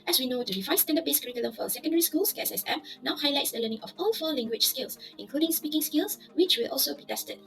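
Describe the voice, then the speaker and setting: Woman, high-ish voice, formal upbeat presenter, quick delivery. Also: lively intonation, vocal fry, fairly bright tone, faint music behind, rough recording. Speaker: English teacher. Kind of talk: scripted project presentation.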